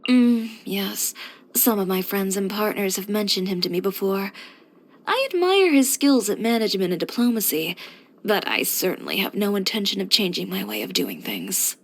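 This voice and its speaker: A woman's voice: mid-range voice, expressive, moderate pace. An actress playing a headstrong, composed young woman who runs a boutique, speaking frankly and with dry confidence, as video game character voice lines. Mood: composed, approving. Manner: matter-of-fact, frank, dryly confident delivery.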